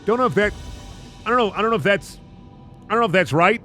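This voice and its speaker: An expressive man hosting a reading of bad fan fiction, with sardonic commentary in between. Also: high-pitched, quick pace, soft background music. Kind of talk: dramatic reading with mocking commentary.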